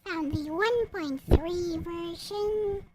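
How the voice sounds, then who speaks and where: A woman with a strikingly high, childlike pitch talks casually to herself. She goes slowly and haltingly, as if thinking aloud at a computer. There is faint noise in the recording. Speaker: visual novel creator narrating. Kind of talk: casual chat while searching computer files.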